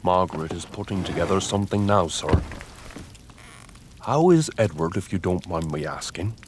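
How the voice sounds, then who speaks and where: A blunt, sceptical manservant of a gothic manor. His low voice is measured, weary and grave, in audio drama style, with clearly audible ambient noise around it. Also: animated. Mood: deferential. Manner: measured, weary, plain-spoken.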